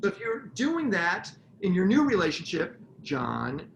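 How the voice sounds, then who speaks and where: A middle-aged man co-hosting a relationship talk show, jokey and animated, prompting his partner to explain. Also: sits high, unhurried, vocal fry, slight background noise. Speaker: podcast co-host. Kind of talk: casual chat. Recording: echoey room, faint ambience.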